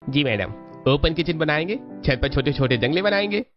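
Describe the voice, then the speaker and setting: A man's voice: fairly high pitch, lively intonation, normal speed, voice pushed down, close to an everyday voice. A man voicing a polite, obliging building contractor in a cartoon story, deferential in manner. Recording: dull lo-fi audio with music behind.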